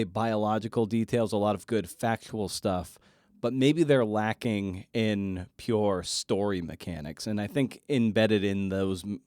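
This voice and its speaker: A man hosting a podcast interview in a casual way, halting and rephrasing as he works toward his point. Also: fairly low pitch, a little mellow, no echo, clean studio sound. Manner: halting, rephrasing questions as he goes.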